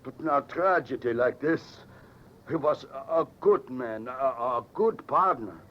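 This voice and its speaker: An actor playing a polite, money-minded foreign businessman with a continental European accent, speaking formally and haltingly in vintage radio drama. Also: medium pitch, expressive, lightly acted. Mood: mournful, awkward. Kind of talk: 1940s-50s radio drama acting. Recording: dull, noisy vintage radio recording.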